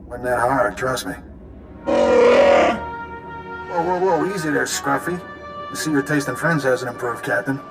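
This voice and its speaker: An actor playing a smug, mocking villainous smuggler in a video game, with a sarcastic, swaggering delivery. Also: male voice, medium pitch, big pitch swings, a little slow, creaky, long pauses. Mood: smug, taunting. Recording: music behind the voice.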